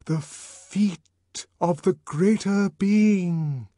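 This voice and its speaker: A man voicing a disembodied, mesmerising cult voice in an audiobook, commanding and portentous, with drawn-out words. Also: a little high, sing-song, warm tone, no echo, studio quality, voice pushed up, heavily acted. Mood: hushed, awed whisper. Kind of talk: dramatic audiobook reading.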